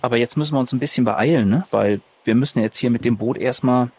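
A man's voice: mid-range voice, quick pace, darkish tone, husky. A man casually improvising as a player in a tabletop roleplaying game.

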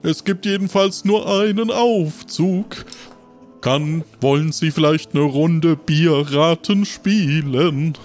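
A chirpy bartender, put on by a man for a role-playing game. The voice is fairly high and takes its time, giggly and polite. Soft music plays behind. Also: takes it slowly. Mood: cheerful.

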